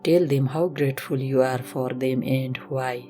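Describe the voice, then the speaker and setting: A woman instructor leading a slow guided meditation in a gentle, measured, instructional manner. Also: rumbling low, fairly even intonation. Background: music.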